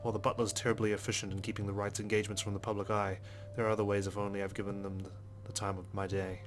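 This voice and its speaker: A low-voiced man narrates a visual novel for a let's play at a quick clip. His delivery stays even, with little rise and fall, and he drops in casual remarks between the scripted lines. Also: a little hiss or hum. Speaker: let's player. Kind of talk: reading a visual novel aloud with casual commentary.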